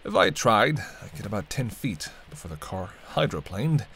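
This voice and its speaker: A man's voice: fairly low pitch, sing-song, moderate pace, vocal fry, pushed and bright, slightly rough, heavily acted. A man playing a sardonic but protective vampire in an intimate roleplay audio drama, bantering and speaking straight to the listener. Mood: wry, persuasive. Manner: bantering, wry, murmured asides. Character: teasing, sardonic male vampire, centuries-weary but protective.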